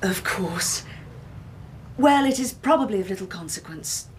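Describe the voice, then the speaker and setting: An actress playing an anxious, delicate young English gentlewoman in a radio drama, hesitant and tremulous. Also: medium pitch, expressive, a little slow, a little hiss or hum, close to an everyday voice. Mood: uneasy, affectionate.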